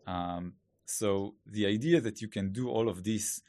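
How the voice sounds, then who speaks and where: A man's voice: on the low side, unhurried, mellow, no room sound. A man with an Israeli accent, a Hebrew native speaker, talking as a podcast guest in a thoughtful, casual way full of fillers and restarts. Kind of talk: casual interview talk. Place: studio.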